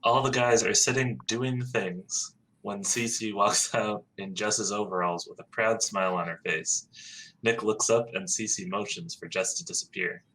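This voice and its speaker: A man's voice: lowish voice, fairly even intonation, a little slow, pushed and bright, low-quality recording. A man on a podcast reading out a script's stage directions in a plain, matter-of-fact way. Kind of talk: script narration.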